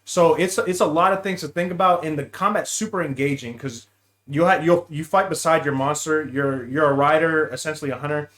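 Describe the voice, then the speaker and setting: Dude guesting on a gaming podcast, voice a bit high, loose slangy bro talk. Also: male voice, brisk, fairly bright tone. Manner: slangy, calls the other speaker bro and dude. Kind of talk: casual gaming podcast chat.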